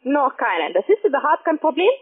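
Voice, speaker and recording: A woman who is a non-native speaker giving a halting, pressing phone sales pitch full of questions over a narrow phone connection. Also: very animated. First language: not German. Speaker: phone sales agent, account manager. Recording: narrow tinny phone line.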